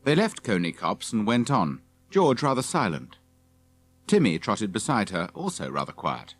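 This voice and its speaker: Low-pitched, expressive male narrator. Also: moderate pace. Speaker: audiobook narrator. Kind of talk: scripted children's story narration.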